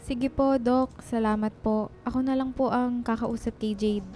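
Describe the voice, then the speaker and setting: A woman playing a caring, supportive young friend in a radio drama, speaking in a soft, reassuring way. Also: on the high side, steady tone, unhurried, soft background music, close to an everyday voice. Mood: encouraging, concerned.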